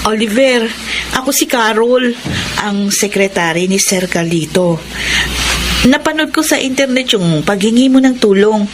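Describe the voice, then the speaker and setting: An actress playing a polite, businesslike Filipino office secretary in a radio drama. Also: female voice, a little high, expressive, measured pace, noisy background. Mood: courteous, sympathetic. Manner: polite, explanatory. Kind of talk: Tagalog radio drama acting.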